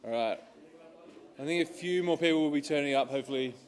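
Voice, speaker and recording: A man's voice: fairly high pitch, quick pace. A man hosting a church evening, talking casually with many hesitant fillers. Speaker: event host. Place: church hall.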